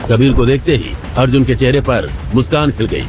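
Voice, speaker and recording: A man's voice: mid-range voice, fairly quick. A man narrating a dramatic audio story in a scripted storytelling style. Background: background music.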